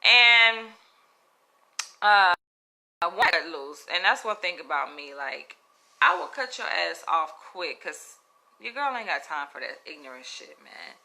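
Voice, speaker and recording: A woman with a Southern US accent talks to camera in a piercing, very bright voice. She tells her story frankly and with lots of animation. Her speech is loose, casual and sprinkled with profanity. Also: fairly low pitch, sing-song, unhurried, gravelly. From Florida, US. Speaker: comedian.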